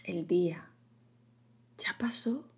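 A woman narrating a guided sleep meditation in a gentle, unhurried, whispery voice, in short phrases with long pauses. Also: lowish voice. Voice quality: breathy, whispery, often dropping into whisper.

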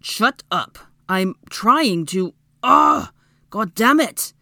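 A woman reader puts on a young male wizard with a hot temper and a growling, gritted-teeth delivery. He is foul-mouthed and quick to panic, in a dramatic storybook style with very dry sound. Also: sits low, animated, gravelly, darkish tone, dry recording, full character voice. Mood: frustrated.

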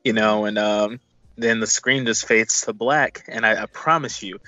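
A man with a lowish voice co-hosts a casual film chat. He rambles in an informal, awed fan's way, circling back over his words. The recording is very dry and slightly dull. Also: steady tone, moderate pace, bright, forceful tone, no room sound. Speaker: movie review co-host. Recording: dull lo-fi sound.

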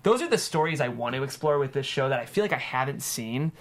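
A man's voice: mid-range voice, lively intonation, fast talker, a bit bright. A man talking casually as a writer in a panel discussion, feeling his way with lots of "like".

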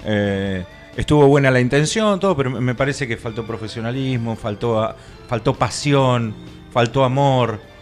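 A man's voice: mid-range voice, soft background music, lightly acted. Touchy Argentine critic, played by a man. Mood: disdainful. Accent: Rioplatense Argentine. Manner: indignant, halting, repeats himself. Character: touchy, haughty male showbiz critic. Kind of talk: comic radio panel.

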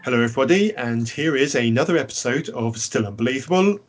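Mid-pitched man hosting a lo-fi podcast. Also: animated, fast talker, loud music behind the voice. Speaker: podcast host. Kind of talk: hosting and interviewing.

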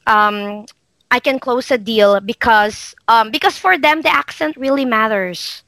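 Bright-voiced Filipino woman guest. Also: a little high, a little slow, no room sound, low-quality recording. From Philippines. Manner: animated, acts out client dialogue. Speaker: guest, virtual assistant. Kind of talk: casual interview chat.

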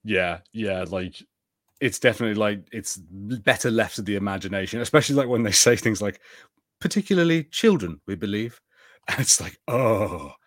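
Male co-host, casual and low-voiced, dry close sound. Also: normal speed, no room sound. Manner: relaxed, heavy use of "like". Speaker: podcast co-host. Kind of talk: casual chat.

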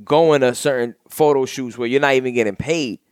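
A young man hosts a casual podcast, talking with animated emphasis and a loose, profane edge. His voice sits at an ordinary middle pitch. The sound is close and dry, with almost no room around it. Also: brisk, clear, resonant tone, dry recording. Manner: animated, emphatic, swears freely. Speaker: podcast host.

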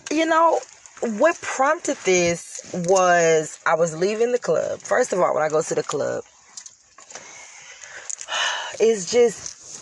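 A woman's voice: low voice, gravelly. Scratchy-voiced woman podcasting from her car. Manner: blunt, animated and preachy, addressing listeners directly. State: sick with a scratchy voice. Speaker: podcast host. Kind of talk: casual solo podcast monologue. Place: parked car. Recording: noisy car recording.

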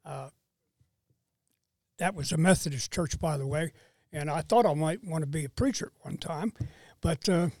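A man in his seventies, a retired father speaking as a podcast guest, slow and plain-spoken in casual conversation. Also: on the high side, a little mellow, dry recording. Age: 70s.

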